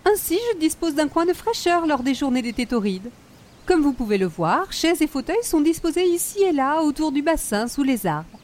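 A woman's voice: sits high, sing-song, moderate pace, a little mellow. A woman playing an affected, snobbish older hostess with a Quebec French accent and precious, formal diction, in radio comedy style. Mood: prim, evasive. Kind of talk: old-time radio comedy acting.

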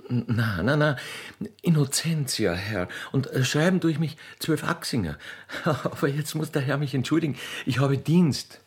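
A man voicing a naive, chatty railway keeper's daughter with an Austrian accent in a radio play, halting and repeating words eagerly. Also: medium pitch, slightly rough. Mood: shy, eager. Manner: halting, repetitive, rustic and eager. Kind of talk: radio play character acting.

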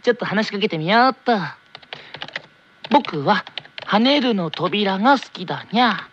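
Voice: mid-range voice, expressive, measured pace, fairly bright tone, lo-fi sound, a little hiss or hum. An actor voicing a cheerful, curious teenage boy in an anime radio drama, ending sentences with cutesy cat-like "nya". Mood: curious, playful.

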